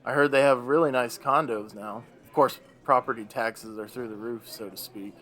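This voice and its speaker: A man's voice: mid-range voice, steady tone, normal speed, a bit bright, lightly acted. A man playing a bitter, weary old Devil in a radio play, grumbling in a theatrical, sardonic way. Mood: wry, grumbling.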